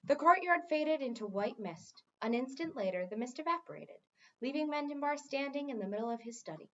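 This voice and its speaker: A woman reading a story aloud as an expressive narrator, with informal asides to listeners. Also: high voice, a little fast, dull audio.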